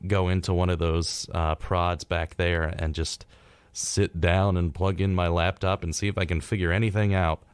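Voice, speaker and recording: A young American man co-hosting a college radio show in casual chat, with halting phrasing and repeated words. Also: low voice, flat delivery, clean tone, dry recording. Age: young adult.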